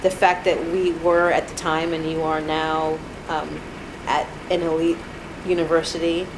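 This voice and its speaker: A woman's voice: low voice, little pitch movement, measured pace, noisy background. A woman in her thirties being interviewed at home, speaking hesitantly with many fillers and restarts. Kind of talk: reflective interview. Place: apartment.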